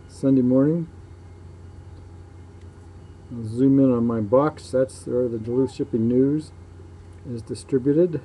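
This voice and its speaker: A man giving casual, off-the-cuff video commentary in a matter-of-fact way, often pausing between phrases. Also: medium pitch. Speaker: local shipping news publisher filming ships. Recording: muffled dark sound, clear background noise.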